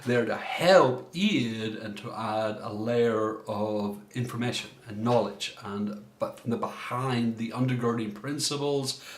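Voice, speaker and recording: A man's voice: mid-range voice, unhurried, hardly any pauses. A middle-aged man from Ireland, a coach giving a recorded workshop talk in a reflective way, often searching for his words. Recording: roomy echo.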